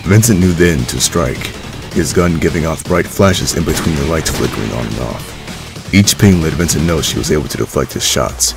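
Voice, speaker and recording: A man's voice: extremely low pitch, gravelly. A man reading scripted story narration as the narrator of an audio drama. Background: music.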